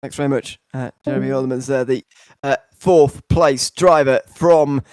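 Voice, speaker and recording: A man's voice: medium pitch, fairly even intonation, moderate pace, darkish tone, no room sound, studio quality. A man hosting and commentating a sim racing broadcast. He interviews a driver and wraps up the night, rambling a little and using many fillers. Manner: rambling, filler-heavy, enthusiastic wrap-up.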